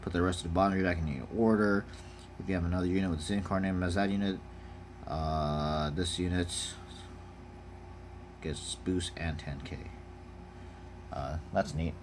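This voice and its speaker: A man's voice: sits low, steady tone, normal speed, pauses often, slight background noise. A man talking casually and off the cuff as he reviews trading cards, laid-back in manner, reading out text and giving brief verdicts. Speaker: card game unboxing vlogger.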